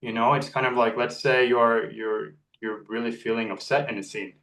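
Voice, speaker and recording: A man's voice: mid-range voice, flat delivery, moderate pace, creaky, a bit bright, echoey room, far from the mic. A young man from Norway, an actor talking earnestly in a casual interview, often restarting his phrases. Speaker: actor and model, interview guest. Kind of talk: reflective casual conversation.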